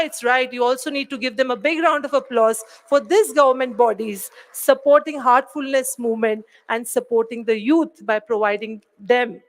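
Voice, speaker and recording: A woman giving an upbeat formal address at an event. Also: fairly high pitch. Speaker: event speaker.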